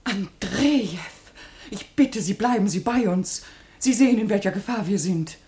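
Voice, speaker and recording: An actress playing a governor's anxious, devoted wife in a radio drama, genteel, imploring and emotional. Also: female voice, medium pitch, animated, normal speed, dark tone, natural voice. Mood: desperate pleading. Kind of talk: German radio drama acting.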